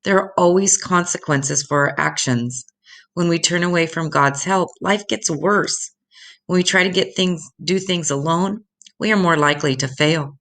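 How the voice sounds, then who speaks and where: An older woman reading Bible stories aloud to children in a deliberate, instructive way, addressing them directly. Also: fairly low pitch, a bit bright.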